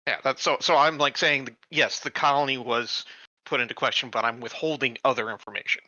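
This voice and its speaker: A man's voice: medium pitch, fairly even intonation, fairly quick, sharp tone, dry recording, muffled recording. A man talking casually as a player in a tabletop roleplaying game.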